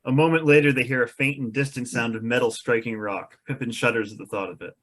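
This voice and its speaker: Mid-pitched man narrating stage directions. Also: fast, fairly bright tone. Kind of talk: scripted narration at a table read.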